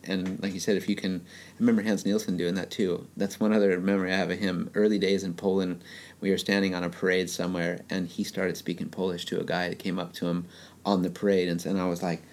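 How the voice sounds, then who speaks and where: A man's voice: low-pitched, flat delivery, fast talker, a little mellow. A man interviewing a guest in a casual chat, enthusiastic and slangy, heaping on praise.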